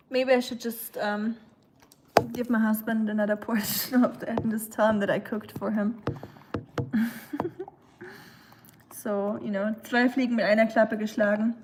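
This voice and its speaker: A woman's voice: on the high side, a little mellow, slight background noise. A young woman from Germany with a German accent, chatting casually with livestream viewers while she cooks at home, giggling and cooing at her dog. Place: home kitchen.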